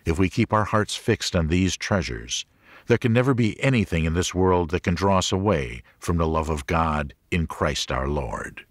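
A man reading a religious audiobook as a scripted narration, in a measured, clearly enunciated manner. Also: low-pitched, animated, dry recording, clean studio sound.

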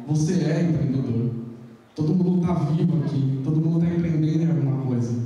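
A man's voice: on the high side, warm tone. A man in his thirties from Brazil giving an earnest motivational talk at a fair, with frequent restarts, on a handheld mic. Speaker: speaker at an entrepreneurship fair. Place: large conference room, indoors. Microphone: handheld mic, heard from far off. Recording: echoey hall from far off.

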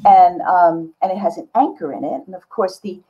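A woman's voice: lowish voice, normal speed, slightly rough. An older woman artist talking candidly and casually as an interview guest over a video call. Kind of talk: casual reflective interview talk. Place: book-lined home studio room, indoors. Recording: slightly dull video-call audio.

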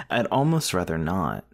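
A man's voice: deep voice, gravelly, exaggerated voice. A man playing a snobbish young demon, a bully's sneering sidekick, in an audio roleplay. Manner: snide, jeering. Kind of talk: ASMR audio roleplay acting.

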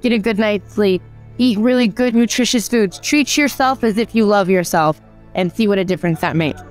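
A woman's voice: mid-range voice, normal speed, soft background music. A young woman giving direct, upbeat advice in front of a press backdrop. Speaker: athlete.

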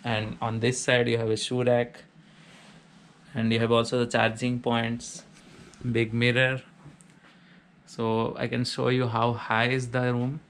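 Flat-voiced man giving a room tour. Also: medium pitch, measured pace, pauses often, a little hiss or hum. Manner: plain, matter-of-fact listing of features. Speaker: vlogger giving a house tour. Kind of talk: casual walkthrough narration. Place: small student apartment indoors.

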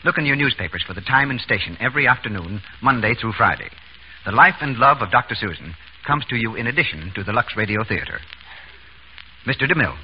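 A male announcer reads scripted copy in a 1930s radio style. His pitch is medium, and the vintage broadcast sounds muffled with faint noise. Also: lively intonation, quick pace.

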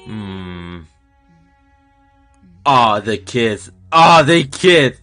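A young man reacts aloud to a show in a casual way. He talks slowly, in short exclamations and muttered asides with lively swings in pitch, while music plays faintly behind him. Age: young adult. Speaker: reaction video host. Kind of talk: casual live reaction commentary. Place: small furnished room indoors. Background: show audio and music playing.

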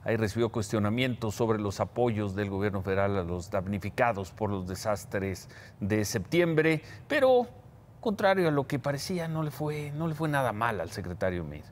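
A male news anchor with a wide-ranging, animated voice. He delivers the news with emphasis. Faint background noise sits under his voice. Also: lowish voice, normal speed. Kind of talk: newscast presenting. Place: TV news studio.

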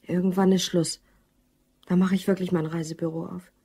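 Casting: down-to-earth secretary in a radio play, slightly husky, natural delivery. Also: female voice, fairly low pitch, flat delivery, normal speed, warm tone. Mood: confiding. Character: patient, practical female secretary dreaming of her own business. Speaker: actress in a crime audio drama. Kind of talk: German radio play dialogue.

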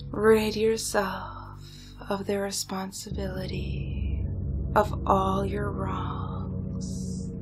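A woman playing a seductive evil spirit dressed as a nun, taunting and accusing in a slow, breathy, often whispered delivery. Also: mid-range voice, expressive. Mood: coaxing, soothing. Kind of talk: whispered dramatization, ASMR-like. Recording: music behind the voice.

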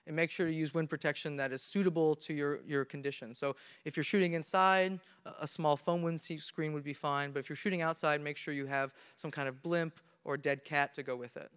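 A man's voice: fairly high pitch, steady tone, brisk, few pauses, a bit bright, clean tone. Young man presenting in a big, echoey hall. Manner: earnest, explains step by step with many restarts. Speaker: conference presenter. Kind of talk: technical talk on stage. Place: large conference hall with audience. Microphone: handheld mic. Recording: reverberant hall from a camera.

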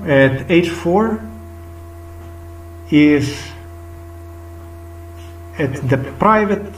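A man's voice: fairly high pitch, expressive, extremely slow, a little hiss or hum. A middle-aged man with a Slovenian accent, talking unscripted to his webcam in a rambling, repetitive, emphatic way, sometimes sinking to a whisper. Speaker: vlogger. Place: small indoor room. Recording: roomy webcam audio from a distance, faint music behind.

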